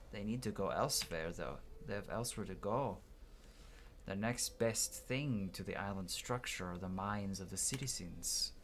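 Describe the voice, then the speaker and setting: A man's voice: on the low side, fairly bright tone, a little hiss or hum. A man doing a playthrough, reading game dialogue aloud dramatically and stumbling now and then before restarting lines. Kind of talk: gameplay commentary with dramatic read-aloud dialogue.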